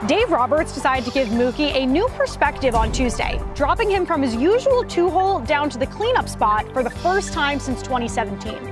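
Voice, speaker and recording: High, animated American woman over loud music. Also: a little fast, few pauses. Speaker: sports highlights narrator. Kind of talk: scripted sports news narration. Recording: loud music bed behind voice.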